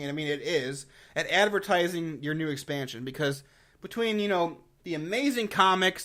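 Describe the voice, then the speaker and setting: A man with a slightly high voice talks like a gaming YouTuber addressing his viewers. His delivery is casual and unscripted, rambling informally with emphasis on key words. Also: pushed and bright. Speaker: gaming YouTuber giving a review and channel updates.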